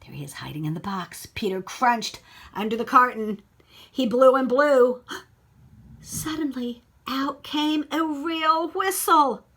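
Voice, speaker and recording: Lady teacher reading a picture book to kids, kinda high voice, expressive, stops to explain stuff. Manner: expressive, child-directed, pausing to ask questions. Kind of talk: read-aloud storytelling with teaching asides.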